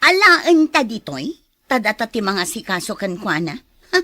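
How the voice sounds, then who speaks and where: A woman's voice: medium pitch, sing-song, normal speed, sharp tone, dry recording. A woman playing a doubtful, reluctant accomplice in an audio drama, questioning and grumbling. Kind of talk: Ilocano audio drama acting.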